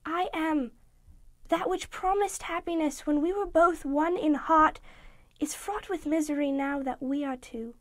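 A man reading an audiobook voices a gentle, sorrowful young woman who is releasing her betrothed, speaking softly and with resignation. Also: very high-pitched, dry recording, studio quality, pitched above the performer's own voice. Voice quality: soft, gentle. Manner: quiet, measured, resigned pleading.

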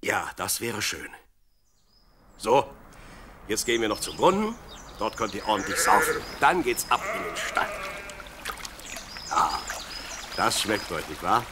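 A man's voice: fairly low pitch, a little slow, pauses often. An actor in a radio play voicing a dreamy, plucky young shepherd boy who muses aloud expressively. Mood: friendly. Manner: expressive, musing aloud to himself and animals. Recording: clear outdoor animal noise behind. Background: sheep, birds, outdoor ambience.

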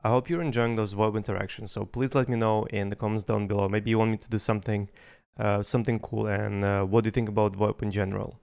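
Gravelly young man, Russian native, chatty and profane, streaming on a headset. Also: lowish voice, little pitch movement, quick pace, a little mellow, no echo, clean studio sound. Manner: chatty, swears, repeats himself. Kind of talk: casual gameplay commentary.

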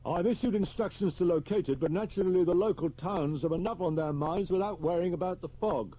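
Grave, blunt police chief, read by the narrator, pitched up and forceful. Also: male voice, dull audio. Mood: grave, urgent. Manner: brisk and blunt. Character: blunt, grave senior police chief, middle-aged man.

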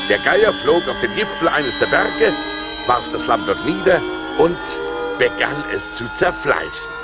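A man's voice: a little high, vocal fry, full character voice. An actor playing a fearful yet resourceful seafaring adventurer, telling his perils in a theatrical, suspenseful way for an audio play. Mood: tense, excited. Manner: theatrical, suspenseful, expressive delivery. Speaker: narrator and lead actor. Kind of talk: dramatic storytelling in a German audio play. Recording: vinyl record transfer.

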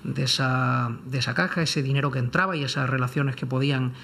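Measured, hesitant man giving careful commentary. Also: medium pitch, steady tone, creaky, a bit bright. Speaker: panelist, lawyer. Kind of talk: unscripted legal commentary in a round-table debate.

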